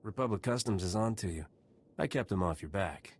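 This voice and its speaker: Game casting: roguish space gunslinger, deepish voice, quick delivery, dry sarcasm. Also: male voice, lively intonation. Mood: cool, sardonic. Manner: dry, sardonic. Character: cocky, wry gunslinger smuggler captain, a man. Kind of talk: video game voice acting.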